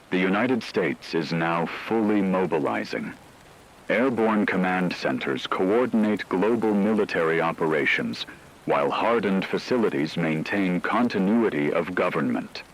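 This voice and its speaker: A man playing a grave radio news anchor, delivering a scripted dramatic war broadcast in a solemn, measured, emphatic way. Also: low voice, heavily acted, low-quality recording.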